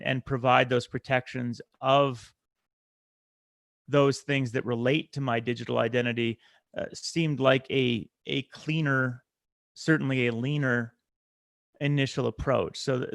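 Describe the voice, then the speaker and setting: A man chairing a committee meeting who speaks deliberately, with many fillers and restarts. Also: medium pitch, gravelly, a bit bright, dry recording, clean studio sound.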